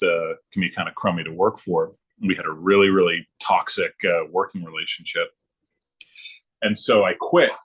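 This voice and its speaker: A middle-aged man with a deep voice talks casually as a guest. He reminisces in an informal, halting way, often feeling for the next word as he thinks aloud. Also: a bit bright. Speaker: guest, former comics production worker turned librarian.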